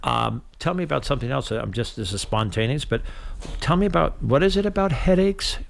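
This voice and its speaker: Middle aged guy hosting community radio, gravelly voice, joking around in a casual chat. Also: medium pitch, animated, fairly quick. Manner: jokey, breaks into loud silly voices. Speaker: radio show host.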